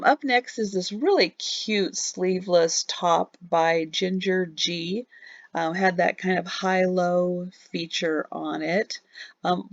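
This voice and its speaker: A woman reselling online, narrating a relaxed screen-recorded recap of what sold, halting with many ums and a tiring voice. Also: lowish voice, gravelly, no room sound, muffled recording. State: losing her voice. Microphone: voice-over on a screen recording.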